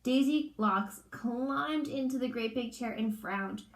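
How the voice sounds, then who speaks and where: A woman's voice: fairly high pitch, slow pace, reverberant. A woman reading a children's storybook aloud like a teacher, expressively and in simple phrasing for young listeners.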